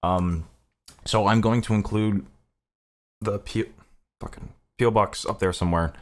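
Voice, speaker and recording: A man's voice: lowish voice, steady tone, unhurried. A man from Canada talking casually and earnestly to his viewers as a gaming content creator, rambling and swearing freely. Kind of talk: casual, unscripted talk to viewers.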